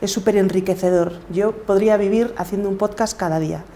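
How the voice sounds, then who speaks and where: Mellow middle-aged Galician woman. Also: medium pitch, steady tone, fairly quick, a little hiss or hum. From Galicia, Spain. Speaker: podcaster and coach, interview guest. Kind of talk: reflective interview conversation.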